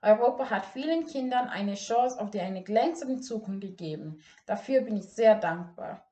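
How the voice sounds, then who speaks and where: A teenage girl from Ghana, whose first language is Ga, gives an earnest and measured school speech in a plain indoor room, recorded by a camera set some distance away. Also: mid-range voice, lively intonation, mellow. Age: teens. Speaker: student speech contestant. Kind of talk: memorized bilingual speech. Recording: echoey room.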